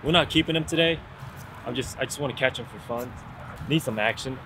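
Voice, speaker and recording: A young man vlogging while fishing on an outdoor sea pier, chatty and excited, talking to viewers into a camera mic. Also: medium pitch, brisk, noisy background. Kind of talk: casual vlog narration while fishing. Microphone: camera-mounted shotgun mic.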